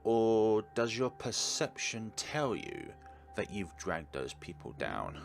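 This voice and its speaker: A man hosting a game playthrough, reading the text aloud and commenting in an earnest, deliberate, theatrical way. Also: fairly low pitch, wildly swooping pitch, vocal fry. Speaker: video game playthrough host. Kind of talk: dramatic read-aloud of game text with his own commentary.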